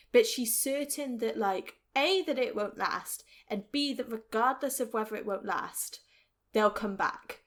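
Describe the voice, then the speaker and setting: A woman's voice: a little high, fairly bright tone. A woman with a mild Welsh accent, co-hosting a casual podcast and talking in a chatty, laughing way full of "like" fillers. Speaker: podcast co-host. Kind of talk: casual chat.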